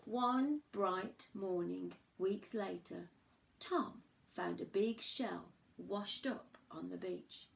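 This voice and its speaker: A woman reading a children's storybook aloud expressively, with dramatic emphasis and pauses for young listeners. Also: mid-range voice, creaky, poor audio. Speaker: primary school teacher reading a picture book aloud.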